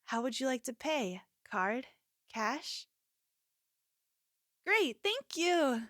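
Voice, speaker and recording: A woman performs an obsessive yandere cashier in an ASMR roleplay. Her voice sits fairly high and moves slowly, pleading and unsettlingly sweet as she clings possessively to her listener. The sound is dry and intimate. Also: sing-song, a bit bright, no echo, heavily acted. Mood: polite. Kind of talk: ASMR roleplay, scripted character acting.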